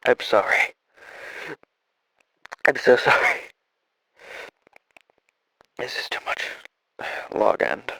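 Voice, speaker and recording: A man playing a dying, regretful scientist in an audio drama, speaking haltingly and wearily as he records a solemn log. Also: medium pitch, creaky, sharp tone, exaggerated voice, low-quality recording. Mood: anguished. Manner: halting, solemn, weary delivery with trailing phrases.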